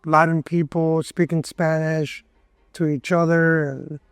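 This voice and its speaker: A man's voice: a little high, little pitch movement, unhurried, smooth, dry recording, clean studio sound, soft background music. A man in his thirties from Miami, talking loosely as an interview guest in a café, full of "you know"s. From Miami, USA. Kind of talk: casual interview.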